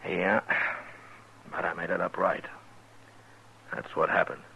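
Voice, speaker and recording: A man's voice: low-pitched, flat delivery, unhurried, gravelly, slight background noise, exaggerated voice. An American actor playing a shrewd, authoritative frontier lawman in a 1950s radio western, terse and commanding. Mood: calm, assured. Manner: terse, commanding, plain-spoken. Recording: muffled 1950s radio recording.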